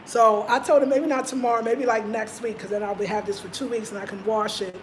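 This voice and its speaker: A woman's voice: fairly high pitch, steady tone, a little fast, noisy background. An American woman hosting a live stream, chatting animatedly in slang, telling stories and reading out viewer comments. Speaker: host.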